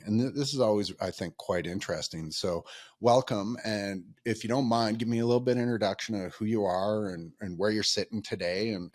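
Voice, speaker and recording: Deep-voiced man hosting a podcast. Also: no room sound, clean studio sound. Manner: rambling, friendly, many ums and restarts. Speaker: podcast host. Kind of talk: casual interview chat.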